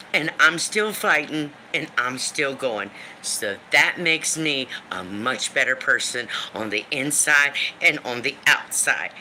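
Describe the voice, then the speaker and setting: A woman in her fifties with a deep, smoky voice talks slowly and with hard, forceful edges on a live stream. She is blunt and emphatic, swears without pause, and hammers her points home through repetition. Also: a little hiss or hum, low-quality recording. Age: 50s. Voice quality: deep smoker's voice. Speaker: livestream host. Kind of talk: emotional live-stream venting. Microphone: phone or webcam for a live stream.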